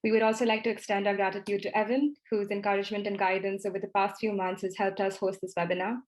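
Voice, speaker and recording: Even-toned woman moderating a webinar. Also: medium pitch, quick pace, rough recording. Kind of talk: formal closing remarks.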